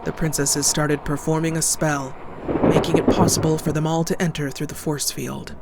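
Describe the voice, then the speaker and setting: A woman's voice: deep voice, level tone, moderate pace, heavy background noise. A woman playing a composed narrator, reading scripted story narration for a cartoon horror tale. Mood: even, serious. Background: music.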